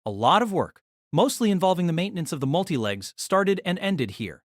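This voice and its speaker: A man narrating an audio drama in scripted storytelling style, expressive and engaged. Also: fairly quick, dry recording, clean studio sound. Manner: expressive storytelling with lively pitch.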